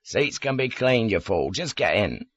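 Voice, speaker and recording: A man's voice: on the low side, very animated, measured pace, a bit bright, no room sound, highs cut off, heavily acted. A man reading an audiobook as a blunt, sweary, hearty Devon man who is dismissive of everything, with a Devon accent. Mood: hearty reassurance. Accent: Devon English.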